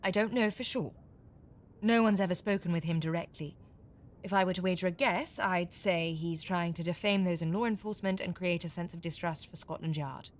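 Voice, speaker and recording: An actress in an audio drama playing a shrewd, defiant captive con artist, sardonic and evasive. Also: female voice, on the low side, brisk, natural voice. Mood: guarded, grim.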